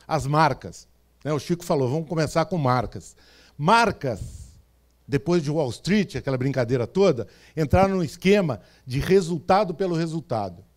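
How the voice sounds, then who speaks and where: Brazilian man from interior São Paulo giving an easygoing talk, voice sitting a bit high, keeps checking in with the crowd. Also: darkish tone. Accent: Brazilian Portuguese, interior São Paulo state. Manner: conversational, with frequent "tá certo?" and "né?" check-ins. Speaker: speaker. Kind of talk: stage talk to an audience. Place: event stage before a live audience.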